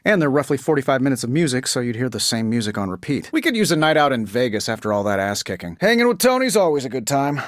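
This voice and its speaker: An actor in a video game playing a cocky, quick-witted billionaire inventor superhero with a sardonic, glib, conversational delivery. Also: male voice, mid-range voice, very animated, no room sound, studio quality, natural voice. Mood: matter-of-fact, joking.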